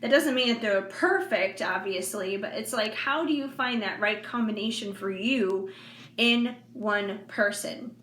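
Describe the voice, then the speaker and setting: A woman of forty talking candidly to her viewers in a casual, rambling way with many fillers and soft laughs. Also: mid-range voice, measured pace. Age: 40s. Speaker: intuitive reader and vlogger. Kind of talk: casual heartfelt monologue to viewers. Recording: echoey room with faint background noise.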